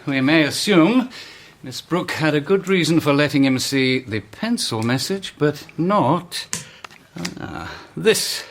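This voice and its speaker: An actor playing a brisk, commanding Victorian police inspector in a radio drama, crisp and authoritative. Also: male voice, on the high side, lively intonation, a little hiss or hum.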